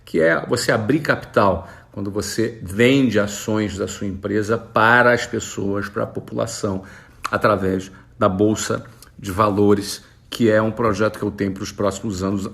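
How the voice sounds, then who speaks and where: A Brazilian man in his forties, an entrepreneur talking casually to camera and answering follower questions in a relaxed, conversational way. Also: fairly low pitch, moderate pace, creaky. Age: 40s. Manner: relaxed, conversational, with frequent fillers.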